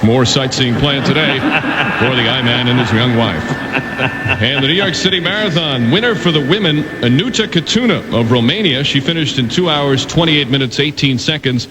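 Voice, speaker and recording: A man anchoring radio sports news, reading scripted scores with deadpan jokes mixed in. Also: mid-range voice, normal speed. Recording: clearly noisy background.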